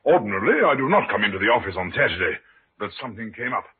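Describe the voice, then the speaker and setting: Proud blustery army colonel, very animated, tinny noisy old radio play. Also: male voice, fairly low pitch, rapid-fire, husky, heavily acted. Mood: defensive, explaining. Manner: indignant, stiff, blustering. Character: proud military colonel accused of poisoning his wife. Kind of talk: 1940s-50s radio acting. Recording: noisy, band-limited old radio broadcast.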